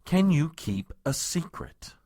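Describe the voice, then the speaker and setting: A man acts out a flustered, self-important political aide in an audio comedy. His husky voice leaps up and down in pitch as he stammers through stiff, formal protests. The recording is close and dry. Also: very animated, slow pace, mellow, no echo, exaggerated voice. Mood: anxious, secretive. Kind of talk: comedic audio drama acting.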